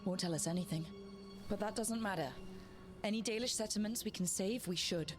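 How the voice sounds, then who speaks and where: A woman's voice: medium pitch, a little fast, close to an everyday voice. An actress playing a resolute, direct female adventurer who leads a small party in a fantasy video game. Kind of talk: video game cutscene acting. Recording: game music behind.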